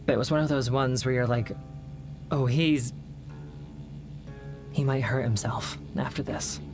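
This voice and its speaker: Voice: medium pitch, a little slow, music in the background, natural voice. An actor playing an uneasy, remorseful comms operator in an audio drama, talking in a hesitant, conversational, confessional way. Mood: troubled, reflective. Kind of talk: naturalistic audio drama monologue.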